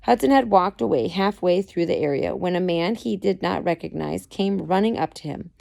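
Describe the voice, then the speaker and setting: Creaky-voiced woman reading a story aloud. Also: on the low side, lively intonation, moderate pace, a little mellow. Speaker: amateur audiobook reader. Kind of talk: scripted story narration.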